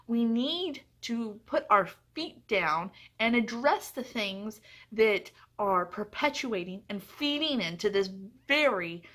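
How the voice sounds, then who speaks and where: A woman's voice: mid-range voice, pushed and bright. A woman giving a casual book review to viewers in an earnest, chatty, emphatic way.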